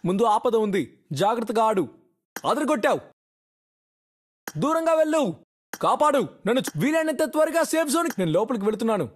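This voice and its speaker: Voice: medium pitch, animated, fairly quick, long pauses, lightly acted. A man voicing a game teammate in short alert callouts, with a Telugu Indian accent.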